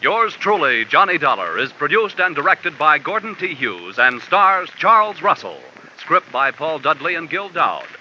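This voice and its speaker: Lively old-time network radio announcer. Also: male voice, on the high side, normal speed, hardly any pauses, very noisy. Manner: smooth formal credits and promo reading. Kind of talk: formal broadcast announcing. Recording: dull old radio recording with music.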